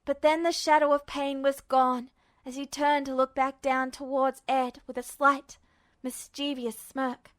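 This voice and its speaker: Woman, high voice, expressive storyteller, scripted fiction narration, dramatic delivery. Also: measured pace. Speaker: amateur audiobook narrator.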